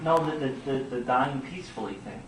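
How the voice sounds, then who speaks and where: A man's voice: mid-range voice, a little fast, natural voice. A man playing a flustered, boyish young hospital doctor in a sitcom table read, earnest and prone to stammering. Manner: animated, stammering, earnest comic delivery. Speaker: actor at a comedy series table read. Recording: echoey room from far off, noisy.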